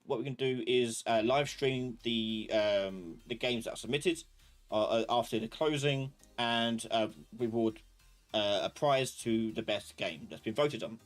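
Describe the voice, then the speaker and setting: Male live-class host, medium pitch, casual and rambling, unscripted instructor delivery. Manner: informal, rambling, thinking aloud. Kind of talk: casual live-stream tutorial talk.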